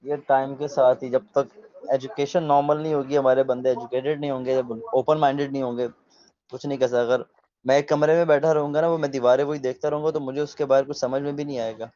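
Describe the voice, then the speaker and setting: A man in his thirties in a casual video chat, relaxed and opinionated, slipping English phrases into his talk. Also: mid-range voice, fast. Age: 30s. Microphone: video call mic. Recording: dull lo-fi video call audio.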